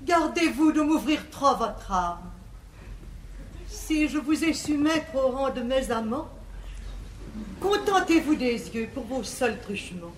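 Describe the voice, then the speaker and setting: A vain older spinster aunt, speaks stage verse slowly in a high, affected voice, grand and coquettishly prudish. It is a 1950s comedy recorded in a very echoey theatre. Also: female voice, slight background noise. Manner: affected, grandiose, drawn-out declamation.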